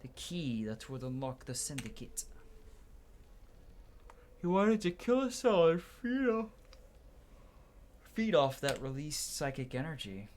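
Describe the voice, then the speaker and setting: A man's voice: a little high, sing-song, slow, pauses often, darkish tone, slight background noise. A man doing a playthrough, reading game dialogue aloud dramatically and stumbling now and then before restarting lines. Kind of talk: gameplay commentary with dramatic read-aloud dialogue.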